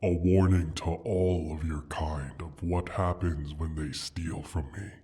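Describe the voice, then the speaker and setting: Guy doing a big menacing hurt dragon, super husky n breathy, talks real slow, asmr fantasy roleplay. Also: a bass voice, steady tone, dark tone, heavily acted. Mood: musing, threatening. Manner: slow, deliberate, menacing, drawn-out phrasing. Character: injured, menacing male dragon guarding his gold hoard.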